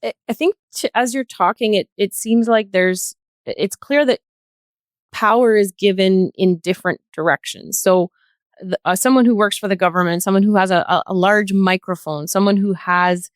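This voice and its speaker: Woman, mid-pitched, dry close sound. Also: normal speed, no echo. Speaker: podcast guest. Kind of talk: thoughtful discussion.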